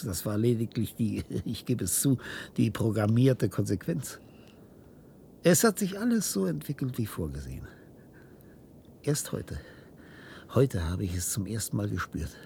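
Creaky, weary old defector. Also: male voice, lowish voice, slight background noise, exaggerated voice. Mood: haunted. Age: older. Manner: halting, theatrical delivery with hushed passages. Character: weary old male defector, guilt-ridden inventor, haunted and resigned. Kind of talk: German science-fiction radio drama acting.

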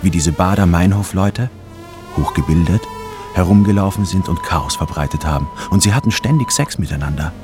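A man's voice: low-pitched, fairly quick, over music, natural voice. An actor playing a brooding, obsessive intellectual man who narrates his own story in a literary radio drama. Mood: brooding, excited. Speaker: narrator and protagonist.

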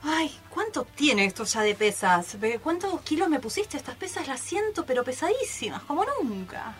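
A woman's voice: high-pitched, very animated, normal speed, soft background music. A woman with an Argentine accent playing a dramatic, complaining gym client straining through exercise in an improvised radio play. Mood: whining, straining. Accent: Argentine Spanish. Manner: complaining, melodramatic. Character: whiny, dramatic female gym client straining under weights.

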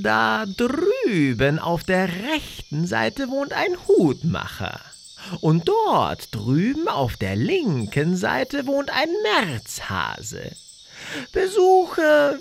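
Smug crazy cat for an audio play, super slow, pitch swooping all over, teasing. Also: female voice, huge pitch swings, vocal fry, a little hiss or hum, heavily acted. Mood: nonchalant, teasing. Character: grinning mad Cheshire cat, teasing and nonchalant. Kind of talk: fairy-tale audio drama acting.

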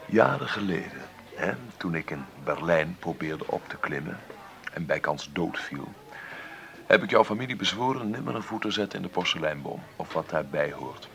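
An actor in a radio play as a wealthy, bitter middle-aged businessman, gravelly, sardonic and condescending in a deliberate way. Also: low voice, animated, fairly bright tone, a little hiss or hum, exaggerated voice. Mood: curt, resentful. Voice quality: gravelly, creaky.

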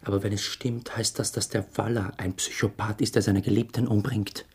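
Schauspieler als tiefer, knarrender, grüblerischer Österreicher im Hörspiel. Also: male voice, fairly low pitch, level tone, fairly quick, slight background noise, natural voice. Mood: uneasy, suspicious. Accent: Austrian. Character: brooding, jealous, suspicious theatre man in love. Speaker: actor narrating a radio drama in the first person. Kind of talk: German-language radio drama acting, intimate narration.

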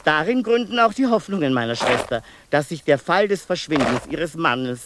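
An actor playing a male asylum inmate who narrates in a measured, circling, repetitive way in a radio play, with birdsong and noise behind him. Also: on the high side, lively intonation, close to an everyday voice. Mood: brooding. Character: male asylum inmate narrating his family's strange deaths. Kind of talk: 1990s radio play acting.

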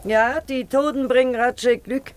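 A woman's voice: high voice, fairly even intonation, normal speed, close to an everyday voice. A woman acting in a German radio play as a knowing older village aunt, folksy and full of insinuation. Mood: insinuating.

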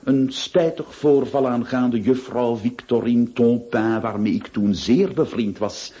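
An actor playing a pompous, well-to-do middle-aged notary who narrates a radio play with theatrical pauses and rhetorical questions. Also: male voice, mid-range voice, fairly even intonation, mellow, close to an everyday voice. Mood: confiding. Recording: dull lo-fi old radio recording.

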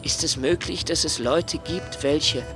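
An actor playing the earnest, searching inner voice of a poor writer, rhetorical, in a literary radio play. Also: male voice, fairly high pitch, measured pace, close to an everyday voice. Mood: urgent, questioning. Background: music.

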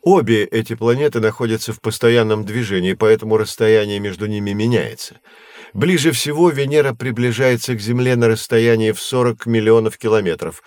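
Actor as a low-voiced patient astronomy professor. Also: male voice, a little mellow, no room sound, lightly acted. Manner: explanatory, measured, didactic. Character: male astronomy professor, patient, knowledgeable teacher of curious children. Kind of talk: scripted educational audio drama.

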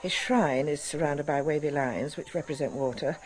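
A woman's voice: low voice, moderate pace, a little mellow, natural voice. A woman playing an earnest, capable but anxious archaeologist in a radio drama, with a proper, precise manner. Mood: matter-of-fact.

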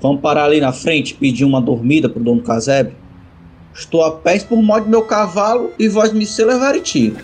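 A man voicing a level-headed, practical bandit from the backlands in an audio drama, steady and folksy. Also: on the high side, a little fast, breathy edge, pitched above the performer's own voice. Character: level-headed male bandit leading his wounded companion. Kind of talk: audio drama character acting.

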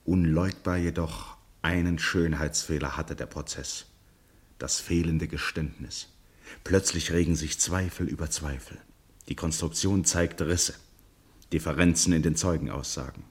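A man with a husky voice delivering dramatic literary narration for a radio play. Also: low-pitched.

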